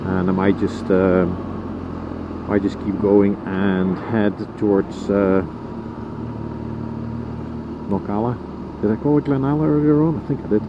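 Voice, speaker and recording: Dutch guy talking thru his helmet mic on the bike, lowish voice, muffled, loads of road noise. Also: unhurried, long pauses. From Netherlands. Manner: rambling, relaxed, many ums and uhs. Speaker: motorcycle vlogger. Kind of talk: casual vlog commentary while riding. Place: on a motorcycle riding outdoors. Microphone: helmet intercom headset mic. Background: engine and road noise.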